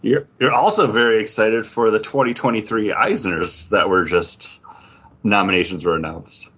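A man's voice: fairly low pitch, fairly even intonation, normal speed, fairly bright tone, slightly rough. A man hosting a podcast, chatting casually and informally in an off-the-cuff way. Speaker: podcast host. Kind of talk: casual chat, reading out award nominees. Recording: dull, lo-fi podcast audio.

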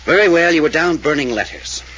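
An actor playing a wisecracking male amateur detective in a 1940s radio drama, questioning in a brisk, wry, probing manner. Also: on the high side, expressive, sharp tone. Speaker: detective figure. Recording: dull old radio recording with faint hiss.

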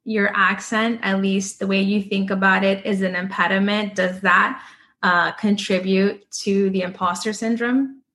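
A woman hosting an interview, asking measured questions and encouraging her guest. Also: medium pitch, fairly even intonation, a bit bright. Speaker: podcast host. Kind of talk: interview conversation.

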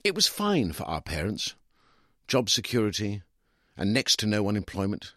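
A man's voice: lowish voice, huge pitch swings, moderate pace, a bit bright, no echo, studio quality, exaggerated voice. A man reading a short story as a smug, condescending middle-aged divorced lawyer with an English accent, argumentative and self-justifying. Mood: opinionated, dismissive. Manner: opinionated, condescending, emphatic. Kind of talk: dramatised first-person short story reading.

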